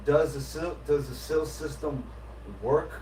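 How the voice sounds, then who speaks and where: A man's voice: fairly high pitch, steady tone, unhurried, roomy sound, far from the mic. Man, emphatic explainer, casual podcast talk, heard from away from the mic, faint noise behind. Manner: emphatic, insistent explaining with repeated restarts. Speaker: podcast co-host explaining his AI system.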